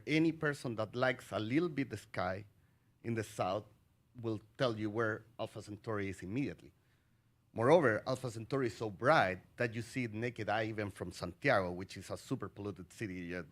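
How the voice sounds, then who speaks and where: Chilean-raised man, halting panel talk. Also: lowish voice. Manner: halting, with many fillers and restarts. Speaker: astronomer panelist.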